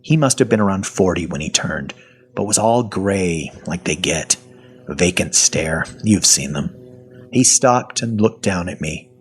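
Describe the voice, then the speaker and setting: A man's voice: low-pitched, lively intonation, unhurried, breathy edge, exaggerated voice. An actor playing a wry, self-deprecating survivor of a zombie apocalypse, telling his story in a folksy, sardonic first person. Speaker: actor narrating an audio fiction story. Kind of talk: first-person storytelling, dramatic audio fiction.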